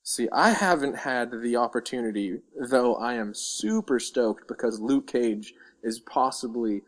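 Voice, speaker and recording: A man's voice: mid-range voice, unhurried. A man co-hosting a casual pop-culture podcast, chatting in an easygoing, inquisitive way. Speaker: podcast co-host.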